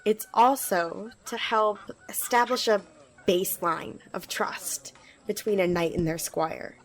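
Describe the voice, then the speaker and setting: A woman's voice: mid-range voice, unhurried, close to an everyday voice, rough recording. A woman playing an eager, earnest, slightly nervous magical squire in an ASMR roleplay, talking to the listener in a hesitant, rambling, reassuring way. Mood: friendly, reassuring.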